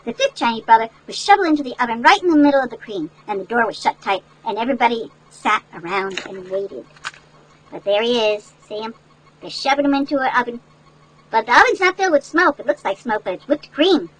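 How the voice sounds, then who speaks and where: Older woman, high-pitched voice, storybook read-aloud, chatty asides, instructive, questioning the young listeners. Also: expressive, fairly quick, slight background noise, rough recording. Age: older, great-grandmother. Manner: instructive, checks in with listeners through tag questions. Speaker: grandmother reading a picture book to children.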